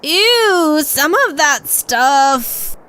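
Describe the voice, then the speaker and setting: A woman plays a prim, picky female troll in an audio drama. The voice is high and lilting, with slow, disdainful delivery. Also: very animated, pushed and bright, full character voice. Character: fussy female troll, refined, picky eater. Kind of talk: fan audio drama acting.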